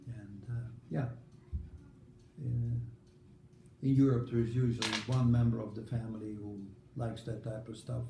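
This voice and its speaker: A man in his eighties from the Netherlands with a Dutch accent, recalling his life in a casual family interview, halting with many fillers. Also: mid-range voice, steady tone, vocal fry, reverberant, background noise. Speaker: grandfather being interviewed.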